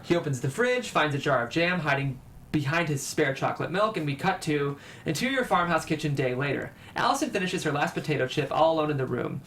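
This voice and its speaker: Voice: medium pitch, expressive, brisk, a bit bright, slight background noise. A narrator reading scene headings and stage directions at a screenplay table read, in scripted narration.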